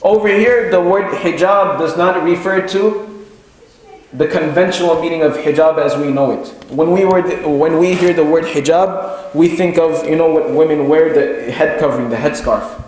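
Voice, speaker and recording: Higher-pitched male preacher in an echoey hall. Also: normal speed, darkish tone. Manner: didactic, repeats key phrases for emphasis. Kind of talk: mosque lecture, explanatory and semi-extemporaneous. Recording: very echoey room, clear background noise.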